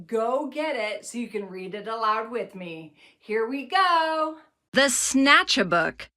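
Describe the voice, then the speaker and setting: A woman narrating a rhyming children's picture book as a read-aloud host, with a measured, expressive storytelling delivery. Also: a little high, no echo, studio quality.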